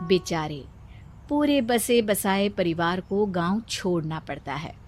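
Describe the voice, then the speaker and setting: A woman narrating a cartoon story in a calm, storytelling way. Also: fairly low pitch, slow, a little hiss or hum.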